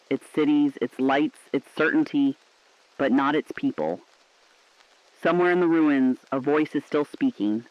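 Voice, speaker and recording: A mid-pitched male voice of an actor plays a resolute co-anchor in an apocalyptic radio drama. The delivery is somber and deliberate, on a slightly dull recording. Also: no echo, lightly acted. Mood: somber hope. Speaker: radio co-host and correspondent. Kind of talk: scripted dramatic apocalyptic news broadcast. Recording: slightly dull, dry studio sound.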